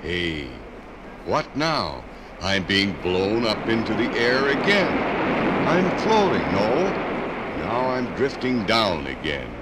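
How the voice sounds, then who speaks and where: Deep, bewildered lump of iron ore. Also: male voice, very animated, heavy background noise. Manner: dramatic, wondering, halting self-talk. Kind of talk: 1950s radio dramatized monologue. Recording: 1950s radio LP, music behind.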